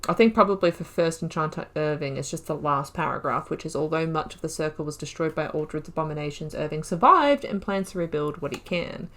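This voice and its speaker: Chatty woman reading game lore, low voice. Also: lively intonation, brisk, few pauses, fairly bright tone. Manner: chatty, trails off and restarts mid-sentence. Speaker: gaming video host. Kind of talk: reading game lore aloud with casual commentary.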